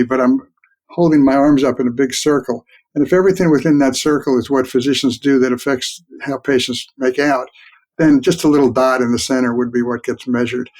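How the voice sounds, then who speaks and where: Man, mature, mid-pitched, expert interviewee, reflective, plain-spoken, measured explanations. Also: darkish tone, low-quality recording. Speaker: guest expert, physician researcher.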